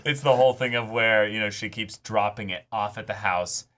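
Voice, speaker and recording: Casual male co-host for fan discussion, forceful bright tone, emphatic and enthusiastic delivery. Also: fairly low pitch, normal speed. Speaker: co-host of an anime reaction channel. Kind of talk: casual chat, reaction discussion.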